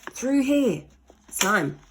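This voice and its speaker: A woman voicing a plucky, quick-thinking young boy in a children's storybook, with urgent, exclaiming delivery. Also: on the high side, very animated, background noise, voice pushed up, heavily acted.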